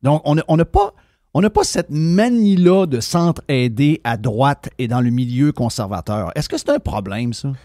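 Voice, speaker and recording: Lively, blunt Québécois man hosting talk radio. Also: medium pitch, normal speed, dry recording, studio quality. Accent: Québécois French. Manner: blunt, informal, mixes in English slang. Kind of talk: casual opinionated political chat.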